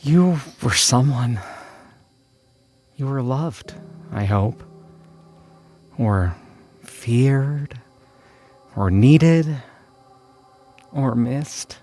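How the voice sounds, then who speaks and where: Man voicing a slow, hushed, tender mortician. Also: medium pitch, expressive, voice pushed up. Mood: wistful, tender. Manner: hushed, halting, sighing. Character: gentle mortician murmuring tenderly to the dead. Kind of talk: dramatic audiobook dialogue.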